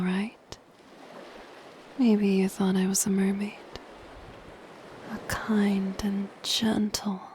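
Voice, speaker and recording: A woman voicing a lonely, coyly possessive siren in a soft, breathy whisper for an ASMR roleplay. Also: medium pitch, close to an everyday voice, poor audio. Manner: slow, gentle, coyly possessive. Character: soft-spoken lonely siren, menacing yet shy and curious. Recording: ocean surf noise behind the voice. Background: ocean waves.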